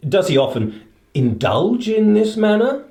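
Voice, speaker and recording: An actor playing a keenly observant, self-assured gentleman detective in a radio play, formal, precise and inquisitive, with a British accent. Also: high voice, very animated, measured pace, echoey room, full character voice.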